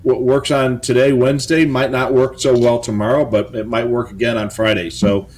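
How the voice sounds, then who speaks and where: Casting: middle-aged American man, medium pitch, informal co-host giving hobby tips. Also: level tone, moderate pace, a little mellow. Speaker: co-host of a police scanner show. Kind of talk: casual chat with tips and anecdotes. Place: home office, indoors.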